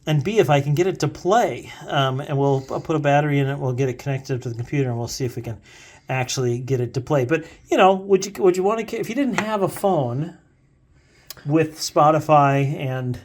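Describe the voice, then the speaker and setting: A man's voice: on the high side, lively intonation, fairly quick, a little hiss or hum. A middle-aged man chatting casually and unscripted as he reviews a gadget, rambling along in an amused way. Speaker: co-host, dad showing vintage gadgets.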